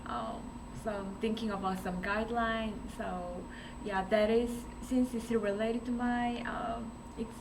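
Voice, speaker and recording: A young woman speaking informally to a group, hesitant and searching for her words. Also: medium pitch. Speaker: PhD student introducing herself. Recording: noisy room, distant mic.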